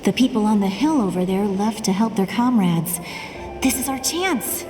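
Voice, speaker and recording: A woman's voice: mid-range voice, expressive, normal speed, lightly acted. A woman voicing a plucky, eager young girl sneaking past guards in a video game. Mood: focused, determined. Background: game music.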